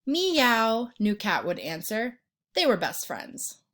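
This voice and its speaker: A woman narrating a children's picture book as an expressive read-aloud storyteller. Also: on the low side. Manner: pauses to ask the listener questions and point out pictures.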